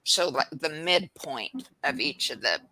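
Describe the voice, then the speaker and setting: A woman's voice: low-pitched, animated, a little slow, crowd noise, low-quality recording. A woman hosting a podcast who asks her questions in a casual, slightly hesitant way and checks herself partway through. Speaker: podcast host. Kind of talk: casual interview chat.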